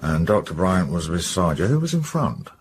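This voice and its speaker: An actor playing a brisk, sceptical English police inspector, businesslike and colloquial as he questions people in a radio drama. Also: sits low, big pitch swings, a little hiss or hum. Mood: probing, businesslike.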